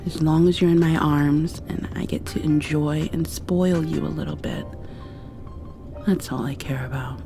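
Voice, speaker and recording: A woman doing an ASMR roleplay, drifting between whispers and soft speech in an affectionate, playfully scolding way. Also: deep voice, steady tone, a little slow, gravelly, over music.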